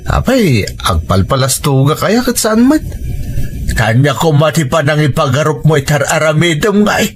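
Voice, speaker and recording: A man's voice: on the high side, sing-song, moderate pace. An actor playing a stern, scolding older father with an Ilocano accent in an audio drama. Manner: reproachful, admonishing. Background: faint music.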